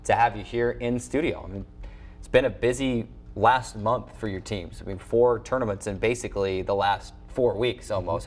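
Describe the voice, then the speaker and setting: An American man hosting a sports show from a studio, reading scores and scripted updates and interviewing guests. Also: lowish voice.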